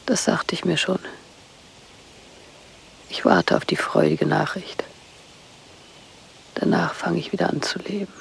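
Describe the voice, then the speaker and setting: An embittered wife in a radio drama. Her voice is low and very gravelly, breaking into breathy whispers. She speaks in terse, deliberate phrases with guarded intensity. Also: steady tone, poor audio. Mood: cold resolve. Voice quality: husky, breathy, often near whisper. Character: bitter, hunted wife in a psychiatric clinic. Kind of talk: radio play dialogue.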